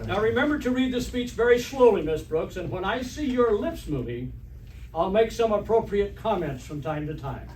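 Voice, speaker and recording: A man's voice: sits high, expressive, moderate pace. A man playing a pompous, blustering, hard-of-hearing school principal in an old-time radio comedy on stage. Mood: self-important. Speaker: amateur stage actor. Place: live stage performance in a hall. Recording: echoey hall, distant mic, faint noise.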